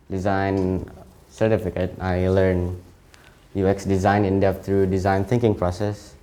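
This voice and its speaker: Halting young Indonesian man, mellow voice. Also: low-pitched. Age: late teens. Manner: halting, frequent self-corrections. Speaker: student presenting his CV. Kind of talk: informal presentation.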